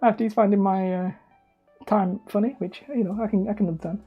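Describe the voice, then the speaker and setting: A man casually chatting on a livestream while he crafts hobby terrain, rambling and self-deprecating as he reads the chat aloud. He calls his own voice high and whiny. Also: fairly even intonation, a little fast, slightly rough, highs cut off, faint music behind. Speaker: hobby terrain-making streamer.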